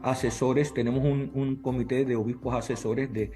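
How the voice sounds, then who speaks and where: A man's voice: mid-range voice, fairly even intonation, moderate pace, warm tone, faint music behind. A middle-aged man, a native English speaker talking in Spanish, giving earnest, emphatic interview answers that repeat phrases as he lists points.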